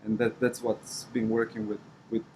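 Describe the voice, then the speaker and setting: A man with a Romanian accent giving conversational interview answers as a coach, halting and repeating words as he goes. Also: lowish voice, monotone, noisy background. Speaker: wrestling coach.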